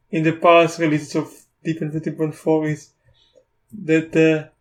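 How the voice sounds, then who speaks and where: Halting South African man, flat voice. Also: a little high. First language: Afrikaans. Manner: halting, restarts often, thinks aloud. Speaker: tech YouTuber. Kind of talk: casual screen-recorded software walkthrough.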